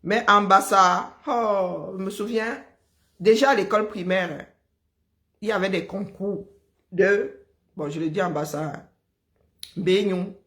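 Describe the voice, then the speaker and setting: A woman from Cameroon with a Cameroonian French accent, chatting casually and telling lively stories, often breaking into laughter. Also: medium pitch, unhurried, long pauses. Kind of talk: casual chat.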